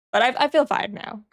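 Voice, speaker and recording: A young woman streamer who is sick and sniffling, chatting casually with her chat and thinking aloud as she works. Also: a little high.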